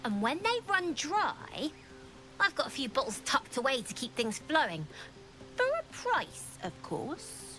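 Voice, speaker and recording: A woman's voice: high voice, huge pitch swings, unhurried, a bit bright, faint music behind, exaggerated voice, poor audio. A woman playing a cheeky, scheming young girl in a video game, sly and sardonic in manner. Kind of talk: video game dialogue acting.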